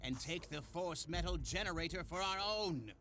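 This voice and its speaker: A man's voice: fairly high pitch, moderate pace, pushed and bright. A man voicing a smug, theatrical video game villain with a taunting, mock-polite manner. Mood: gloating. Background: game music.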